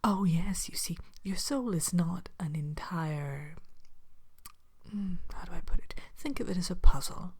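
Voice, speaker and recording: A woman voicing a politely sinister evil queen who runs a potion shop, in a soft, breathy, hesitant ASMR whisper. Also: lowish voice. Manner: hesitant, genteel, unhurried, matter-of-fact about dark magic.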